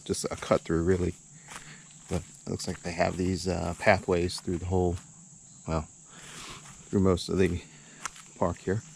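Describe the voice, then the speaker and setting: Low-voiced man rambling casually outdoors. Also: gravelly. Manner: rambling, hesitant, chuckling. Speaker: channel host. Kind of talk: casual camping vlog narration. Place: outdoors at a campsite. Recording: outdoor background noise.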